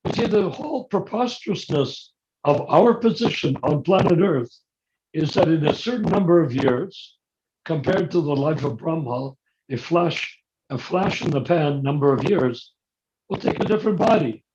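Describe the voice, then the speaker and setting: A man with a somewhat high voice talks earnestly about spiritual questions over a video call. His voice comes through his hearing aids and sounds scratchy and muffled. A clear noise sits under every word. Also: animated, moderate pace. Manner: earnest, rhetorical, asks if he makes sense. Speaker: discussion leader. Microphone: phone linked to hearing aids.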